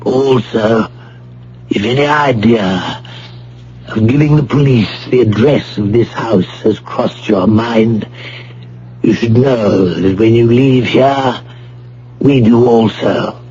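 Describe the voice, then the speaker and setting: An actor playing a courteous but ruthless criminal mastermind in a radio drama, speaking formally and deliberately with polite menace. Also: male voice, medium pitch, warm tone, slight background noise, close to an everyday voice. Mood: coolly threatening. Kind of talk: vintage radio drama acting. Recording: muffled, humming old radio broadcast recording.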